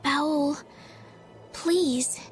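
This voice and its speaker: A woman voicing a gentle, earnest young princess in a video game, polite and caring. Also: sits high, very slow, faint music behind. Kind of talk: English video game voice acting.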